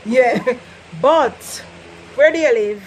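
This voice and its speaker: A young woman from Jamaica with a Jamaican accent, teaching patois in an animated, laughing casual vlog. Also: a little high, slow pace, slight background noise. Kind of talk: casual chatty lesson.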